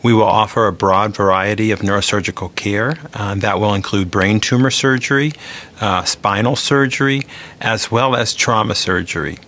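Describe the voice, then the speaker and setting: A man with a fairly low, gravelly voice gives interview remarks as a medical specialist. His explanations are formal and measured, with noticeable fry in the voice. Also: sharp tone. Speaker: neurosurgeon.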